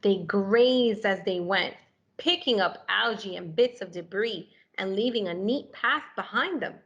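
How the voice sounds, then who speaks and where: Female children's story reader, medium-pitched, expressive and animated, engaging young listeners as she reads. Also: unhurried, muffled recording. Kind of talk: expressive picture-book read-aloud.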